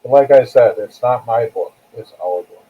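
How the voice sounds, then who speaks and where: A man's voice: medium pitch, moderate pace, husky. A man speaking as an interview guest and author, conversational, plain-spoken and earnest. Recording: muffled, phone-like narrow sound.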